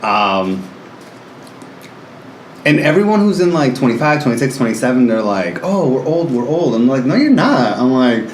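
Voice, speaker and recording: A man in his thirties chatting casually as a guest, animated and laughing often, with many fillers. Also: medium pitch, moderate pace, a little hiss or hum. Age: 30s. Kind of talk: casual chat. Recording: roomy indoor echo with faint ambience.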